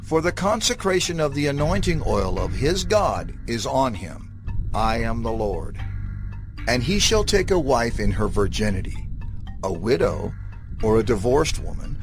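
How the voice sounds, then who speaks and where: A man giving a dramatized scripture reading in a solemn, measured, declamatory manner. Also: mid-range voice. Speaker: scripture reader. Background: prominent music.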